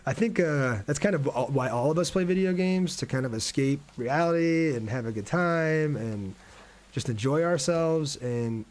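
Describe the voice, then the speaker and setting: A man's voice: a little high, darkish tone. A man hosting a casual gaming livestream, thinking aloud and chatting with viewers as he plays. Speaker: livestream gamer, host. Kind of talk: casual livestream gameplay commentary.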